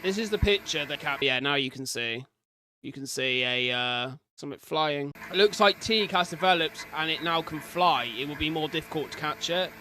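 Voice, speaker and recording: A man's voice: on the high side, normal speed, pushed and bright, slight background noise. A British man doing informal live reaction commentary as a streamer, reading captions aloud and adding chatty asides. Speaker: streamer reacting to horror videos.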